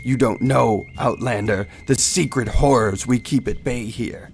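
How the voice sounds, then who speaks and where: A man acts out a proud dark elf ranger in a fantasy game drama. He is grave and formal, with creaky, medium-pitched speech over faint music. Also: measured pace.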